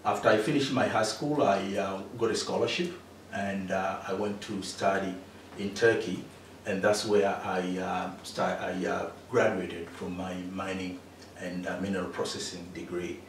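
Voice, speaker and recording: A middle-aged man from Tanzania speaks in a lowish voice, pausing often on fillers and restarting his sentences. He sits some way from the microphone in a very echoey room. A faint hiss runs under his voice. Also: fairly even intonation. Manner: hesitant, many fillers and restarts. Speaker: interview guest, mining engineer. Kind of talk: casual interview answers. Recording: echoey room, distant mic, faint noise.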